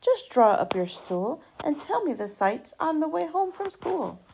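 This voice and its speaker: A woman voicing a stern, calm, doubting father in a children's storybook, measured and admonishing. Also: sits high, gravelly, a little hiss or hum, voice pushed up, exaggerated voice, poor audio. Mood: calm, probing.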